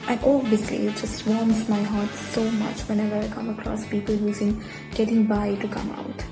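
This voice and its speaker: A woman's voice: mid-range voice, flat delivery, moderate pace, reverberant, music in the background, rough recording. An eighteen-year-old woman with an Indian accent sharing her view as a fan, blunt and emphatic. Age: teens.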